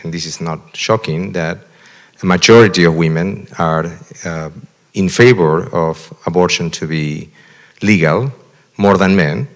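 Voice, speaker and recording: A man's voice: low voice, unhurried, big-room sound. A man from Argentina moderating a community panel discussion, speaking hesitantly with many fillers and restarts.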